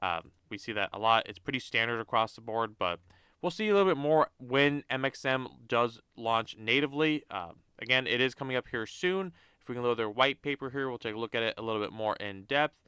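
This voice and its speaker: A man's voice: mid-range voice, animated, brisk, sharp tone, clear, resonant tone, no room sound, studio quality. A young man with a stuffy nose from a cold, casually talking through a website on screen with lots of fillers and restarts. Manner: rambling, many fillers and restarts. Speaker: crypto channel host. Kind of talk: casual screen-share walkthrough.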